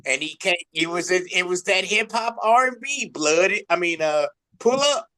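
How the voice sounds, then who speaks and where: A man's voice: high-pitched, animated, normal speed, pushed and bright. A man hosting a music show, chatting casually in an informal, slangy, effusive way. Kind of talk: casual interview chat.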